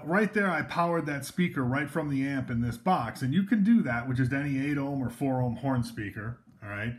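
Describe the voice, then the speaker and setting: A man giving a casual, loose product demonstration indoors, talking from behind a handheld camera with many fillers and restarts. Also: mid-range voice. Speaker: equipment demonstrator. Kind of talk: informal product demo. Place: indoors at a table of devices.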